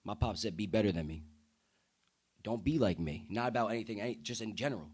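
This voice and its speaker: A deep-voiced young man from the United States speaking earnestly and emphatically in casual, slang-heavy conversation as a podcast guest. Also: fast, a little mellow, slightly rough.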